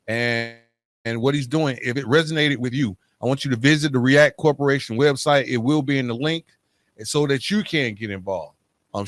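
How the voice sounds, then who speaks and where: A man's voice: mid-range voice, no room sound. Man hosting a podcast, dry close sound. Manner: halting, searching for words. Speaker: podcast host. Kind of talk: hosting an interview podcast, wrapping up with calls to action.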